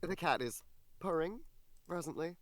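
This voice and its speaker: A woman's voice: low voice, animated, normal speed, no room sound. A woman voicing a puzzled doctor who speaks hesitantly. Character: bewildered woman doctor, Doctor Cadis.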